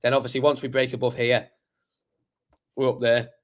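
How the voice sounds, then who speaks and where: Male streamer, croaky voice, mid-pitched, rambling casual chart talk. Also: level tone, moderate pace. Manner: chatty, rambling, many fillers and restarts. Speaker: crypto livestream host. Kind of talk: casual live chart analysis.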